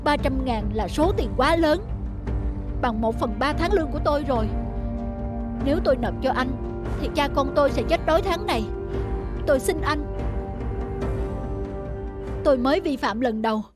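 Woman voicing a high, anxious pleading delivery man. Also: lively intonation, normal speed, pauses often. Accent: northern Vietnamese. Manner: apologetic, stammering, imploring. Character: anxious, pleading middle-aged male pizza delivery driver. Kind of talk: cartoon dubbing. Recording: music under the dialogue.

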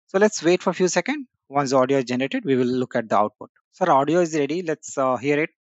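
Male tech-tutorial host, casual and chatty with lots of fillers, a bit higher-pitched, on a dull lo-fi mic. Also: animated, quick pace, dry recording. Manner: casual, frequent "uh" and "you know" fillers. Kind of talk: screen-share software walkthrough.

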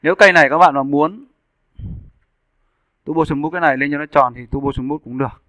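A man narrating a casual software tutorial as an instructor, explaining step by step and checking in with his listeners. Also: fairly high pitch, fairly quick, no room sound. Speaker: 3D animation instructor. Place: indoors, screen-recording voice-over.

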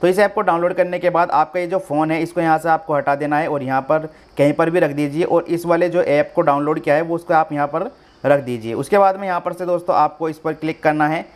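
A man's voice: a little high, steady tone, brisk. A man hosting a tech tutorial, explaining phone steps one by one and addressing viewers directly in an instructional way. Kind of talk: step-by-step phone tutorial narration.

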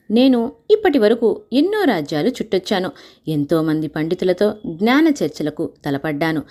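A woman's voice: lowish voice, mellow. A woman voicing a boastful male scholar from a children's storybook, pompous and formal but bluffing.

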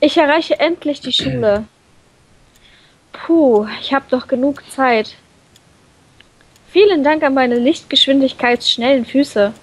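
A woman reading a visual novel aloud in an animated, playful way, breaking off into her own comments. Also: high-pitched, slow pace, long pauses, poor audio. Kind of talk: expressive let's play read-aloud.

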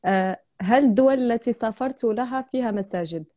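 A woman's voice: on the high side, fairly quick, dark tone. A young woman with a Moroccan Arabic accent hosting a live video chat at home, chatting casually and laughing often as she tells stories. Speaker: host of a live stream. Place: indoor room at home. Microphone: video call, laptop or phone camera.

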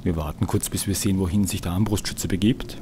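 Deep-voiced, slightly hoarse male gamer. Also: quick pace, background noise. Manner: calm, musing, talks to the viewer. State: a bit hoarse. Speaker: let's play host. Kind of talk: gameplay commentary.

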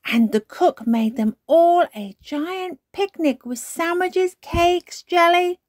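Lady doing a little piggy girl, super excitable and bouncy, high lively storybook voice. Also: slow, dry recording, studio quality. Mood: eager, excited. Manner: bouncy, insistent, exclaiming. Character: excitable little girl pig, eager and insistent. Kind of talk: children's storybook character voice.

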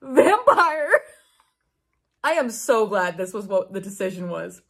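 A woman's voice: medium pitch, expressive, measured pace, creaky, noisy background. A woman in her twenties giving casual, sweary, incredulous reaction commentary as she reads aloud in a room at home. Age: 20s. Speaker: book YouTuber. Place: small room at home with bookshelves.

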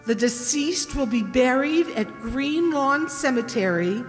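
An older woman acts a distraught wife in an old-time radio horror play. Her high voice is anxious and halting. It rings through an echoey hall, with music behind. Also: animated. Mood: dread. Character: frightened, distraught wife narrating a horror tale. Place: stage in a hall, live reading. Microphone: stand microphone.

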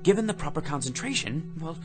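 An actor playing an obsessive office clerk who mutters to himself over a book and builds to manic fervor. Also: male voice, fairly high pitch, lively intonation, fast talker, natural voice. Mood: absorbed. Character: obsessive middle-aged male office clerk. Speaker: lead actor in radio drama. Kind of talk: radio drama acting. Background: music.

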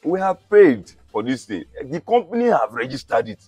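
A man's voice: medium pitch, sing-song, moderate pace, a little mellow, slightly rough, no room sound, faint music behind. Man playing a chuckling, dismissive buyer. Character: amused man refusing to return a car. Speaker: car buyer in a comedy skit.

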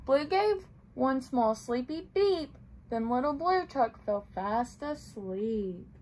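A young woman with a clearly high voice reads a picture book for young children. She tells it in a sing-song way, talking simply to little listeners. There is faint room noise behind her. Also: lively intonation, slow pace. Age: young adult. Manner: sing-song storytelling with simple questions and explanations for small children. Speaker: playschool teacher reading aloud. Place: small tiled indoor room.

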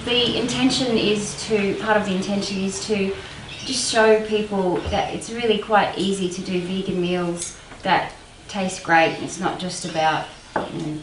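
A woman's voice: mid-range voice, steady tone, unhurried, hardly any pauses, background noise. A woman in her thirties giving a casual cooking demonstration in a home kitchen, hesitant with many ums. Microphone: far camera mic. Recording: roomy kitchen from far off with clatter. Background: clattering dishes and cutlery.

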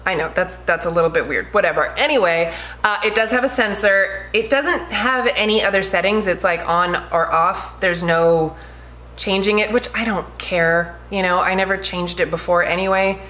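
A woman's voice: mid-range voice, moderate pace, slight background noise. Chatty woman vlogger at home. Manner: chatty, animated, gestures a lot. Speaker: lifestyle vlogger. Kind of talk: casual product review vlog. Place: home room with shelves, indoors. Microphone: desk camera at mid distance.

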